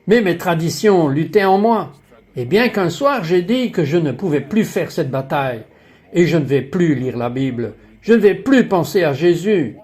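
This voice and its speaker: A man with a slightly high voice reads a dubbed religious interview. He delivers questions and personal testimony as a voice-over. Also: a little mellow.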